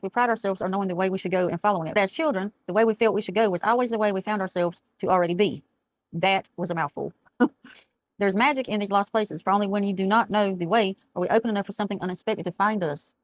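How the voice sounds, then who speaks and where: A middle-aged woman, chatting through a tarot reading and reading aloud from a card book, her voice going hoarse as she often loses her words. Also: medium pitch, dry recording. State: losing her voice. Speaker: tarot reader. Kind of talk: casual tarot reading to camera. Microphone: camera mic, speaking to camera.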